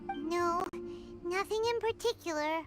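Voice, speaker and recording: A woman voicing a tiny, chatty, excitable fairy girl companion in a video game, with a squeaky childlike voice. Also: measured pace, loud music behind the voice. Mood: chatty, relieved. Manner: refers to self by name in third person. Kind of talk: animated game voice acting.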